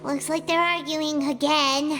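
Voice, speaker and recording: A woman voicing a tiny, cheerful, childlike fairy companion in a video game, squeaky and bubbly. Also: steady tone, unhurried, in a large hall, over music. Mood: mildly concerned. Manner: bubbly, exclamatory.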